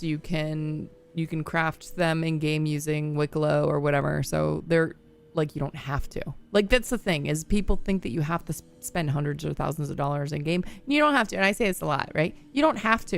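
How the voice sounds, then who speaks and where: Woman in her 30s streaming, kinda low voice, chatty, lots of like and you know, laughs a bunch. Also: brisk, gravelly. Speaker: game streamer. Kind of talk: casual live-stream chat, answering viewer chat.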